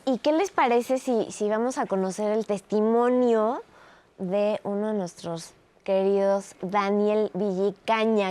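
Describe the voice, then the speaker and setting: Smooth Mexican woman hosting. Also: medium pitch, darkish tone. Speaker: host.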